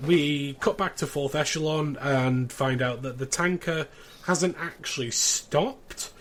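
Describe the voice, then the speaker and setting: A man's voice: fairly high pitch, takes it slowly, a bit bright, slight background noise. A man in his thirties chatting casually and recapping as a podcast co-host.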